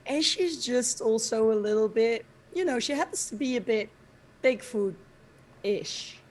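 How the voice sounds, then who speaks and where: A woman introducing herself as a tabletop game player, hoarse from a cold and cheerful. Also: on the high side, lively intonation, measured pace, a little mellow. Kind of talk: casual chat.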